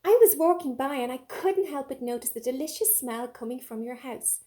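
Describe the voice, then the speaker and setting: A woman's voice: high-pitched, animated, normal speed, dark tone. A woman voicing a polite, cake-hungry fox from a children's storybook, with a wheedling way of asking. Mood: eager, polite.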